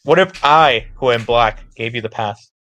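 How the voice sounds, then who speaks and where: A man's voice: medium pitch, normal speed, bright, forceful tone. A man asking questions as a co-host in a casual, provocative chat.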